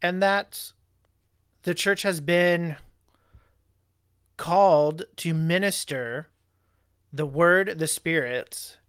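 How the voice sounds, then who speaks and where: A man co-hosting a church study show, explaining a confession in a halting, deliberate way with fillers and self-corrections. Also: a little high, fairly bright tone, clean tone, dry recording, studio quality. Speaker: co-host discussing a church confession. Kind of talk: Bible study discussion show.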